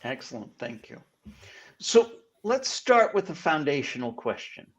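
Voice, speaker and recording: A man hosting a business podcast, introducing the guests and asking questions in an upbeat, brisk way. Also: a little high, big pitch swings, fairly bright tone. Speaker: podcast host.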